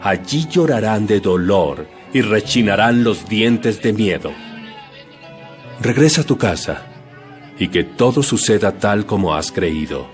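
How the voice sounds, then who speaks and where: A man acts out a male holy teacher and healer, commanding yet compassionate. His delivery is solemn and declamatory, with a very mobile pitch. Soft music plays behind this audio Bible drama. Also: animated, unhurried. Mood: solemn, forceful.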